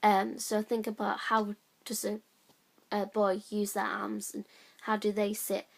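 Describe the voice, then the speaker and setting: A young woman, a drama student, reflecting on her audition in a halting way with many fillers. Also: completely flat delivery, gravelly. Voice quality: high-pitched voice, by her own account. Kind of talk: reflective spoken account.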